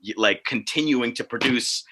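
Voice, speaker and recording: A man on a video call speaking casually as a panelist, thinking aloud in a halting way with many repeats. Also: mid-range voice, sharp tone, slightly rough. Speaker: panelist, theatre artist. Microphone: video call microphone.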